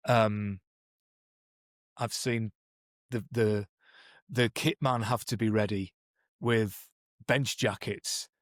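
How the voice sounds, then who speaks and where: A man's voice: fairly low pitch, fairly even intonation, slow pace, pauses often, dry recording, clean studio sound. Man explaining training with many restarts. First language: English. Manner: thoughtful, many restarts and "you know"s. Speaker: podcast co-host, performance coach. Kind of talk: conversational explaining.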